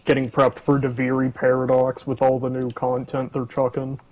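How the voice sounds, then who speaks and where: A man with a medium-pitched voice comes through slightly dull voice chat audio. He talks casually while gaming. He is blunt and teasing, and he curses often. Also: monotone, normal speed, a little mellow. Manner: blunt, foul-mouthed, teasing. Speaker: gamer in a co-op session. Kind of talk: casual chat while playing an online game.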